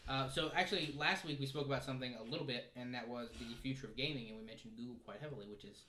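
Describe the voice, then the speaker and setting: A man's voice: mid-range voice, rapid-fire, pushed and bright. A man co-hosting a podcast in casual panel chat, recorded with roomy echo and some noise. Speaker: co-host of a gaming news podcast.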